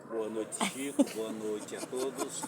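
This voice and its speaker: A man in his fifties from Alagoas, a former mayoral candidate, telling his story slowly and deliberately in a podcast interview, with halting and formal phrasing. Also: fairly low pitch, little pitch movement, distant mic. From Alagoas, Brazil. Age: 50s. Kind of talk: podcast interview, personal account. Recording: voice from off-mic with clear background noise.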